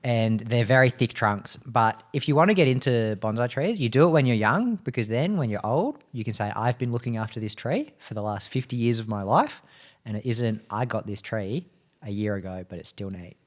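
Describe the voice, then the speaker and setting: A man's voice: medium pitch, normal speed. A man chatting casually and improvising as a player in a tabletop game. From Australia. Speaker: player in a tabletop roleplaying show.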